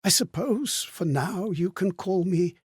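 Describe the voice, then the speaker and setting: An actor playing a frail, forgetful old man in a video game, anxious and kindly, with a hesitant, plaintive delivery and a husky, breathy voice. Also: sits high, animated, measured pace, a little mellow, no echo, clean studio sound, full character voice. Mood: anxious, pleading. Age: older. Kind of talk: theatrical video game character acting.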